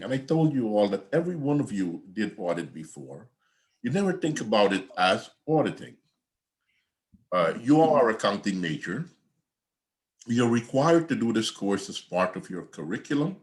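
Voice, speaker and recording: An older man from Guyana, an accounting professor giving a conversational, rambling online class lecture over a video call from home. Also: lowish voice. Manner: conversational, repetitive, digressive.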